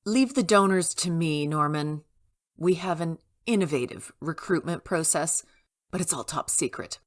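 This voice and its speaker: An actress playing a smooth-talking, confident and secretive female villain boss in an audio drama. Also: low-pitched, animated, normal speed. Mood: assured, secretive. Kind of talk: naturalistic audio drama acting.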